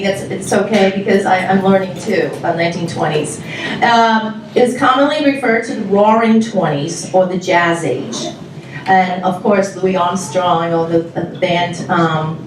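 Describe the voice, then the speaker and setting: A woman from Taiwan, giving a chatty, giggly welcome speech to an audience, pausing often with fillers. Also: fairly low pitch, a little hiss or hum. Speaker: music club organizer presenting a show. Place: large indoor hall with live audience. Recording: very echoey hall, mic far off.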